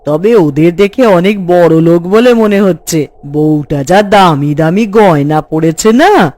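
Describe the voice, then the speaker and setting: A woman's voice: on the low side, slow pace, a little mellow, no echo. A woman voicing a chatty, gossipy village housewife in a cartoon. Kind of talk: Bengali cartoon voice acting.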